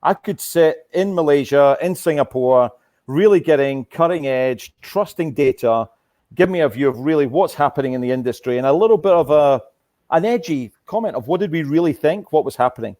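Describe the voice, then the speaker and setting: A man's voice: fairly high pitch, lively intonation, a little fast, darkish tone. A man speaking casually as a corporate guest being interviewed on an online call. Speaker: corporate sponsor guest, oil and gas executive.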